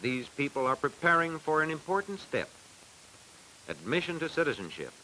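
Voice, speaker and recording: An American man reading scripted narration for a 1940s educational film in a formal, measured, declamatory way, on a hissy old soundtrack. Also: a little high, sing-song, pushed and bright, poor audio.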